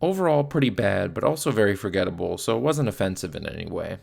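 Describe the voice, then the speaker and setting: A man's voice: deep voice, lively intonation, quick pace, gravelly. A man narrating a casual, scripted movie review in a blunt, sometimes profane way. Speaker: video reviewer. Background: faint background music.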